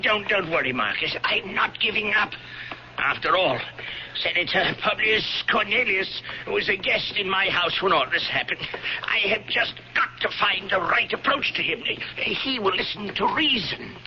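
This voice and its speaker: A kindly, muddled older Roman man, fussing and stammering like a conspirator in a radio play. The broadcast is muffled, with clear background noise. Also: high-pitched, animated, moderate pace, pushed and bright, exaggerated voice. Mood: apologetic, hopeful. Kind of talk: 1970s radio drama acting.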